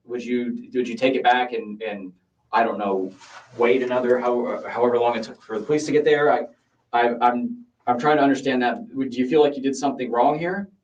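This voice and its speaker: A male homicide investigator questioning a suspect in a police interview, haltingly repeating words as he reasons. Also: mid-range voice, fairly even intonation, muffled recording. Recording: distant interrogation room microphone.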